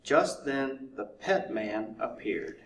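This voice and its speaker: A man narrating a children's storybook in a deliberate way, drawing words out for effect. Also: mid-range voice, lively intonation. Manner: deliberate, drawn-out repetition for effect. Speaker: fire chief reading to children. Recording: roomy echo, distant mic, faint noise.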